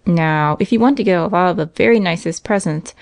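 A woman's voice: on the low side, moderate pace, no room sound, studio quality, natural voice. A woman voicing a stern, guarded and uneasy man in a storybook. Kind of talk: storybook character voice.

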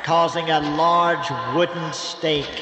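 A man playing a solemn storyteller, narrating a scripted horror tale in a deliberate, formal manner. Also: fairly high pitch, little pitch movement. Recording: long reverb, loud music underneath.

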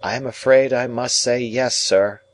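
Man playing meek, polite young gentleman, lifted pitch. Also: steady tone, a little slow, close to an everyday voice. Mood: deferential. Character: deferential, uneasy young gentleman, a ward. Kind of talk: audiobook character dialogue.

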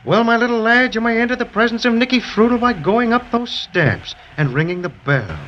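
A man playing a chatty, forgetful, genial snowman gentleman in a 1930s children's radio serial, pompous and flowery, repeating his own phrases. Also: very high voice, animated, a little fast. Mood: chatty, self-pleased. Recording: muffled, noisy 1930s radio recording.

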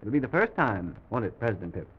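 A man hosting a children's show, narrating a make-believe story in simple, gentle phrases. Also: on the low side, big pitch swings, fast talker, slightly rough. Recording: dull, noisy vintage broadcast recording.

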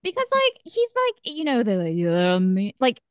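A woman co-hosting a podcast, chatting casually in a rambling, animated way with lots of fillers. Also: a little high, moderate pace, no room sound, clean studio sound. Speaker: podcast co-host. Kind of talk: casual chat.

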